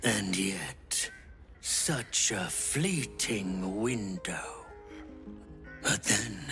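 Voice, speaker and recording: A man's voice: fairly low pitch, gravelly, full character voice. An actor playing a fanatical cult murderer in a video game, pompous, theatrical and ominous as he relishes death. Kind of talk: video game villain acting.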